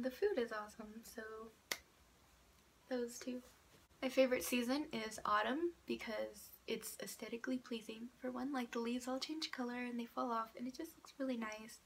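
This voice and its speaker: A woman's voice: fairly high pitch, fairly bright tone, a little hiss or hum. An eighteen-year-old woman chatting casually to camera about herself in a small room, stuffed up from a cold, chatty and a little sarcastic. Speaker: vlogger introducing herself. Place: small indoor room by a door. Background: passing cars.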